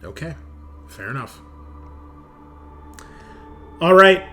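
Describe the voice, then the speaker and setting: A man running a tabletop roleplaying game as game master, narrating in a halting, descriptive way over an online call. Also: a little high, very animated, over music. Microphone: online group call. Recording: clear background noise.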